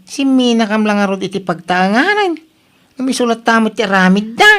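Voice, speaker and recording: A woman with an Ilocano accent playing an excitable, gossipy, skeptical villager who exclaims a lot in a comedy radio drama. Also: a little high, fairly quick, a little hiss or hum. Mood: startled, gossipy.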